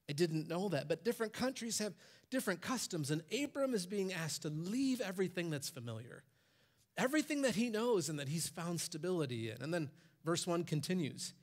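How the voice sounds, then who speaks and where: Animated preaching man, fairly high voice. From Michigan, USA. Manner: animated preaching, voice rising for quoted commands. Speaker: pastor. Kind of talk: sermon. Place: church stage.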